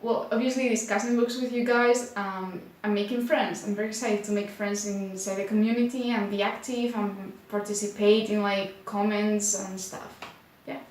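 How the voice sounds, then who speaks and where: A woman's voice: mid-range voice, fairly even intonation, a little mellow, a little hiss or hum. Spanish-accented woman in an echoey room. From Spain. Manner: unhurried, many filler likes, slightly unsure. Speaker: booktuber. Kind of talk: casual vlog to camera.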